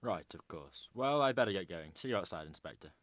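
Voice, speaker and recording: A man's voice: low-pitched, expressive, fairly quick, a bit bright, no echo. A man playing a grave, courteous police chief in an amateur radio drama, speaking formally.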